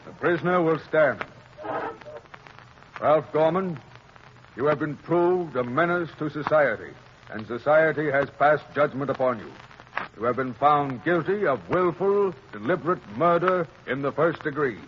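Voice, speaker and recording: An actor playing a stern, formal trial judge with measured authority in a 1930s radio drama. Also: male voice, fairly high pitch, lightly acted. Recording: muffled, noisy 1930s radio recording.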